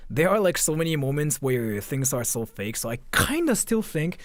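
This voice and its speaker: A man's voice: a little high, very animated, moderate pace, no echo. A man chatting casually on a livestream, informal and slangy, often putting questions to his viewers. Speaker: live streamer. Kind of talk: casual livestream chat, commenting and answering viewers.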